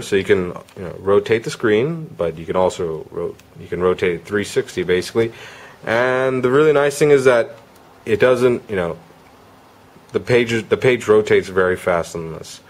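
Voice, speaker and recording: Guy with a lowish voice doing an off the cuff gadget demo, stops and rewords stuff. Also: expressive, moderate pace. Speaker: product reviewer. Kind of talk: casual unscripted product demo.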